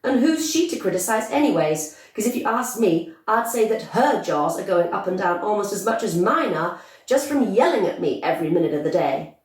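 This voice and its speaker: A woman voicing a brash, boastful, bossy young girl in a storybook, with loud, emphatic, excitable chatter. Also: mid-range voice, moderate pace, lightly acted. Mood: defiant. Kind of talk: storybook character voice. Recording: echoey room, distant microphone.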